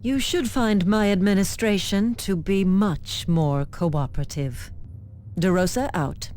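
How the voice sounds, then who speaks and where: A woman's voice: medium pitch, measured pace, a little mellow, a little hiss or hum, close to an everyday voice. A woman voicing a haughty, officious administrator in a video game, formal and self-assured. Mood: smug, stern. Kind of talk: video game character acting.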